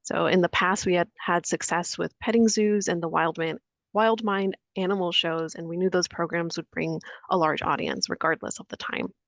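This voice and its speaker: A woman on library staff presenting programming in a webinar with a clear, scripted delivery. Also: fairly low pitch, normal speed, fairly bright tone, no echo.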